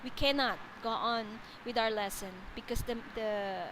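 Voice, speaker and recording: A woman's voice: on the high side, normal speed, background noise. A woman from the Philippines with a Filipino accent, teaching an online English lesson over a video call in a patient, explanatory way. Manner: patient, explanatory, often repeats and rephrases. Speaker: English tutor.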